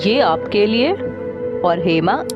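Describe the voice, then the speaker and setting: A woman voicing a mild, affectionate young Indian husband and dutiful son in a Hindi cartoon story, gentle and apologetic. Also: medium pitch, animated, takes it slowly, highs cut off, over music.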